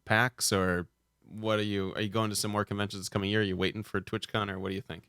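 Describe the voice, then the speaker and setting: Halting male host in a dry room. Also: on the low side, flat delivery, bright, forceful tone, clear, resonant tone, no room sound. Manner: halting, restarts, laughs easily. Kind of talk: casual podcast chat.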